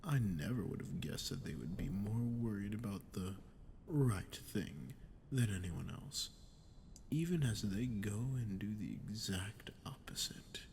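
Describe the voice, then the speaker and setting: A man voicing an ancient sadistic demon in an ASMR roleplay, breathy and often near a whisper, taunting and condescending as he speaks straight to the listener. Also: lowish voice, lively intonation, measured pace, a little mellow, a little hiss or hum. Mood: contemptuous. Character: ancient sadistic male demon, condescending, menacing, seductive manipulator. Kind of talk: scripted ASMR roleplay monologue.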